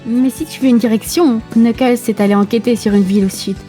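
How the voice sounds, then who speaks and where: A woman's voice: fairly high pitch, steady tone, brisk, dark tone, soft background music, natural voice. A woman voicing a determined young female hedgehog commander in a French comic dub, earnest, bossy and emphatic. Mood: earnest, pleading.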